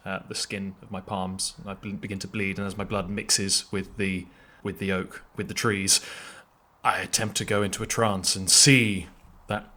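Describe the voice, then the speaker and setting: Low-voiced man improvising in a tabletop game. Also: pushed and bright. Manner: halting, feeling out phrases with repetitions. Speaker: tabletop roleplaying player. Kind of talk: improvised in-game description, conversational.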